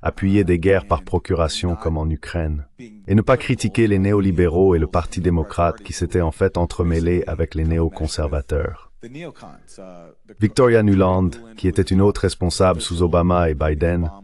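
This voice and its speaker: A man with a low-pitched voice speaks as a panelist. He delivers political commentary in the form of a translated voice-over, as part of a discussion. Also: darkish tone.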